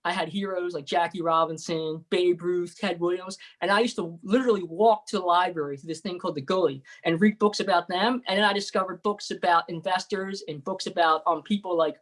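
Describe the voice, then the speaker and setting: Male guest investor, quick delivery, earnest, video call. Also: sits high, fairly even intonation, a bit bright. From United States. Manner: fast, earnest storytelling. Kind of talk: storytelling interview answer.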